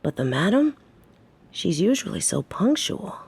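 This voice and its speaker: Low-voiced, brooding mafia boss played by an woman. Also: sing-song, a little slow, creaky, darkish tone, slightly rough, exaggerated voice. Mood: impatient. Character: menacing, protective female mafia boss, short-tempered but devoted. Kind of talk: scripted audio roleplay acting.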